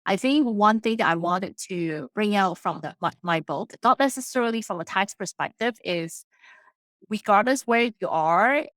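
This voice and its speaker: A woman's voice: medium pitch, moderate pace, fairly bright tone, dry recording. Earnest woman guest, English her second language. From immigrated to Canada in her late teens. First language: not English. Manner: earnest, explains plainly with restarts. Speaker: accountant and author, podcast guest. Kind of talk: casual interview talk.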